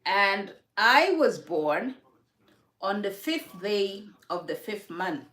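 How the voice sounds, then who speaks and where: A woman's voice: mid-range voice, animated, takes it slowly, sharp tone. A woman from Ghana hosting a casual livestream from her home, talking reflectively about faith and answering viewers' comments. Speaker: livestream host.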